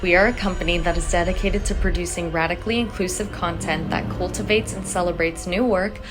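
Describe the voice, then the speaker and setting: A woman's voice: lowish voice, fairly even intonation, a little fast. A woman announcer reading a scripted outro for a theatre company's audio series. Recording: music bed under voice.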